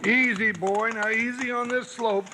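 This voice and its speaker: An actor playing a grizzled, excitable frontier sidekick with a squeaky voice and folksy drawl, in 1950s radio drama acting on an old noisy radio recording. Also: male voice, measured pace. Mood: coaxing.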